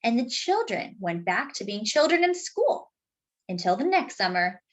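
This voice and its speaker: A woman reading a picture book aloud to children, expressive and deliberate, dropping in chatty asides. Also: fairly high pitch. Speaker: children's story reader.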